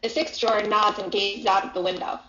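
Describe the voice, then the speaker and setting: Female narrator for a play's stage directions, medium pitch, dull echoey room sound. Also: fairly even intonation, a little fast, bright, forceful tone. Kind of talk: scripted narration.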